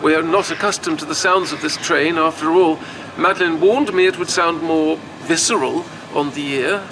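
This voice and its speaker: A woman's voice: sits low, normal speed, noisy background, close to an everyday voice. A woman playing a protective, anxious Victorian wife in a horror audio drama, with formal, old-fashioned phrasing. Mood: calm, affectionate.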